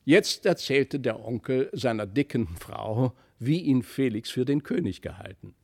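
A man narrating a literary fairy tale as an expressive audiobook reader. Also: medium pitch, unhurried, vocal fry.

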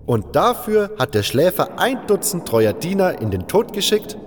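A man's voice: high-pitched, big pitch swings, moderate pace, long reverb tail, soft background music, pitched above the performer's own voice. A man voicing an exasperated, reluctant adventurer in a fantasy audio drama, with a biting, sarcastic manner.

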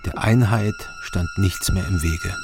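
An actor playing a haunted middle-aged former border guard from East Germany, narrating his thoughts in a subdued, halting way in a radio drama. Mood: haunted, brooding. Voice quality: low, gravelly. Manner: subdued, halting, weighty short phrases. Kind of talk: radio drama inner monologue. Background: instrumental music.

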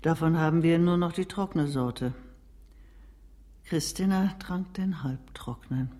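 Frank old nursemaid in a reverberant hall. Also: female voice, sits low, unhurried, dark tone. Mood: matter-of-fact. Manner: plain-spoken, unflinching. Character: very old, frank, stern female nursemaid and confidante. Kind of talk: German radio drama acting. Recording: long reverberant hall, music behind.

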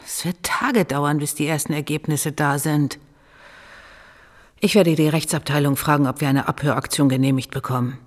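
A senior police officer in a radio drama. She is firm and businesslike, with a slightly low female voice in a reverberant room. She reasons decisively and sounds official. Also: fairly even intonation, moderate pace. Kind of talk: German radio drama acting.

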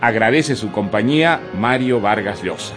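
A man's voice: fairly low pitch, animated, moderate pace. A man presenting a radio programme in a scripted, formal delivery. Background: music.

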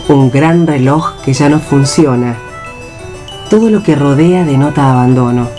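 Radio theatre narrator: woman, very low register, scripted scene-setting, prominent music underneath. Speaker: announcer and stage-direction narrator.

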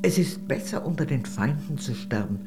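A woman's voice: a bass voice, expressive, moderate pace, background noise. An actress playing a worldly, gracious older lady, a former communist, in a radio play, speaking in a genteel, arch, confiding way. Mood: sober, reflective.

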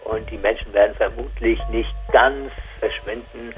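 A male expert gives serious, explanatory commentary in unscripted phrasing. His pitch stays fairly flat and in the middle. The recording is a little dull, with faint music underneath. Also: normal speed. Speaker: scientific expert.

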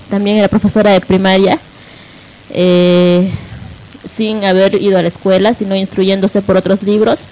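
A woman's voice: mid-range voice, darkish tone, rough recording. A woman in an informal radio discussion, explaining things and often checking for agreement. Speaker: radio panelist.

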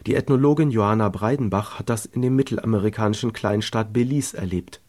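A man reading a scripted radio feature in a calm, informative way. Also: lowish voice, quick pace. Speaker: radio narrator.

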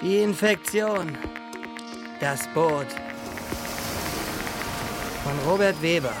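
A man's voice: fairly high pitch, extremely slow. A man announcing the title of a radio drama. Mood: ominous. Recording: loud music behind.

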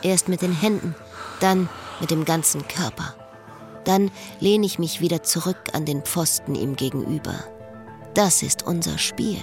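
A woman's voice: deep voice, measured pace, a little mellow, faint music behind. An actress playing a lovesick, restless woman who speaks her inner thoughts in a radio drama. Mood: intimate, brooding.

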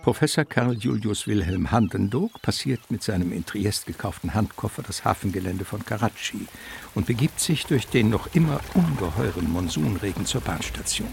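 A man narrates a radio drama in a low, gravelly voice. His storytelling is measured and theatrical, with soft music behind it. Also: animated.

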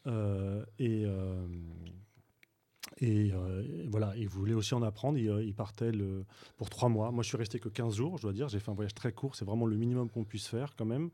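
A man speaking as a guest on a radio talk show, recounting his volunteer trip in a halting way with frequent fillers and restarts. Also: on the low side, steady tone, warm tone. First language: Arabic speaker. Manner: hesitant, many fillers and restarts. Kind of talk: radio interview.

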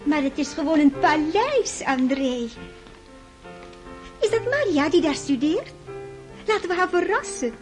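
An actress playing a chatty, dramatic young princess in a radio drama, gushing and affected in manner. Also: female voice, high voice, sing-song, a little slow, long pauses, soft background music.

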